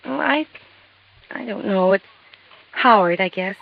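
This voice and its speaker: A woman's voice: medium pitch, animated, measured pace, gravelly. A young wife in a 1950s radio drama. She is chatty and anxious, earnestly explaining and protesting, on a dull old recording. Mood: troubled, insistent. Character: chatty, anxious married woman in her late twenties.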